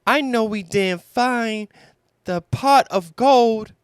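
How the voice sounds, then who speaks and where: Small, eager male piglet for a children's book, voiced by a man; very high, clear, cheerful. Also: slow, dry recording, clean studio sound. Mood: bright, eager. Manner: bright, eager.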